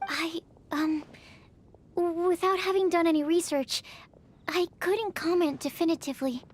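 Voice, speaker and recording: Timid, very high-voiced young researcher. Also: female voice, steady tone, measured pace. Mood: tentative, thoughtful. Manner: tentative, precise, formal wording. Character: timid, bookish young woman researcher, polite and careful. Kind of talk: video game voice acting.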